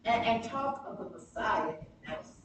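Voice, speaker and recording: Woman preacher, slow emphatic sermon delivery with dramatic pauses, picked up off-mic over clear background noise. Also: fairly high pitch, animated. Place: church sanctuary during a live service. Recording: very echoey, distant mic, noisy church livestream.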